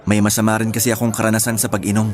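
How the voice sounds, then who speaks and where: A man playing a quiet, gentle homebody in radio drama dialogue, talking in a casual, matter-of-fact way. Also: lowish voice, monotone, rapid-fire, close to an everyday voice. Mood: matter-of-fact, regretful. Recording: faint music under dialogue.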